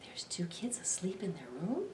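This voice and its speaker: A woman's voice: mid-range voice, big pitch swings, moderate pace, noisy background. A middle-aged woman doing a dramatic picture-book read-aloud for young children, with questioning asides to her listeners. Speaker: library storytime host. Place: indoor library storytime room. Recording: roomy, distant camera microphone.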